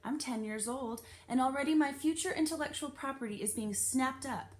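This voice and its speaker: A woman's voice: sits high, normal speed, pitched above the performer's own voice, close to an everyday voice. A woman reader acts out a precocious ten-year-old girl from a storybook. She is self-important and lawyerly, talking like a little know-it-all in a raised, matter-of-fact voice.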